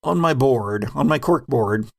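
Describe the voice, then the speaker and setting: A man's voice: mid-range voice, expressive, measured pace, vocal fry. A man hosting a podcast, chatting casually as the game master looking back on a finished season. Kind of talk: casual retrospective chat.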